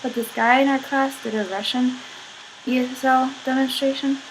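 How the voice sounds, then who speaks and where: A young woman rambling in a casual vlog, filling every pause with "like". She is sick, and her voice sounds a little husky. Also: high-pitched, fairly even intonation, noisy background. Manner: chatty, filler-heavy, giggly, says "like" constantly. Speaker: vlogger.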